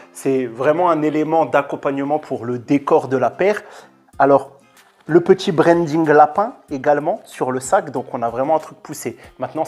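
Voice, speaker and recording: A man hosting a casual unboxing vlog, talking in an informal, chatty, slangy way. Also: on the high side. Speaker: YouTube host.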